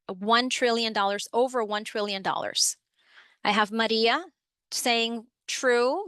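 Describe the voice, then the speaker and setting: A woman's voice: on the high side, fairly bright tone, clear, resonant tone, no echo. A woman from the Dominican Republic presenting an educational webinar in a friendly, upbeat way, with frequent fillers, on a computer microphone. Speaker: webinar presenter, program supervisor.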